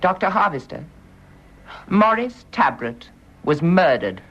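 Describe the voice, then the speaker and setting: A grave British nurse in a radio play, stubborn and wounded. Her formal, clipped lines swing widely in pitch for emphasis. The recording is dull and muffled. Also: very animated, slow pace, a bit bright, breathy edge, exaggerated voice. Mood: grave, defiant. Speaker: actress in a radio drama. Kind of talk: radio drama acting.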